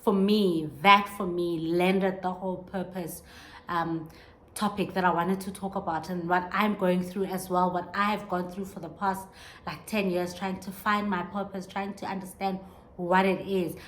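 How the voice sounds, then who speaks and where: A woman's voice: on the low side, fairly even intonation, moderate pace, fairly bright tone, a little hiss or hum. A woman in her thirties hosting a podcast, talking earnestly and reflectively on her own, with many restarts as she searches for words. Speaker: podcast host. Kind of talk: reflective solo monologue.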